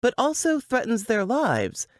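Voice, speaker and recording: A woman's voice: fairly high pitch, huge pitch swings, unhurried, no room sound, studio quality. A woman giving a scripted, dramatic narration, as for a book blurb.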